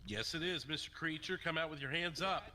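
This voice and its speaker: A man playing a firm, controlled police officer in game roleplay, giving measured, insistent commands. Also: fairly high pitch, pushed and bright, voice pushed up. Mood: firm, commanding. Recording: long reverb, faint noise behind.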